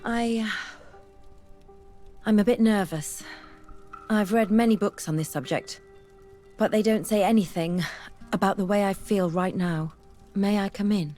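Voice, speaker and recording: An actress playing an earnest, bookish young female scholar in a video game, speaking in a measured, articulate way. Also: medium pitch, mellow, lightly acted. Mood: shyly tender. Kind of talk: video game dialogue acting. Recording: music behind the voice.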